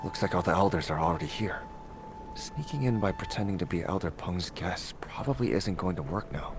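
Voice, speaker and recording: A man acting a quick-witted, bluffing young male adventurer in a video game. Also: low-pitched, lively intonation, over music. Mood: muttering.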